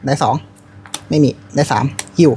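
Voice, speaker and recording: A man's voice: moderate pace, dark tone, a little hiss or hum. A man playing a card game, thinking aloud, murmuring, counting numbers and reading card text aloud. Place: comic and card shop.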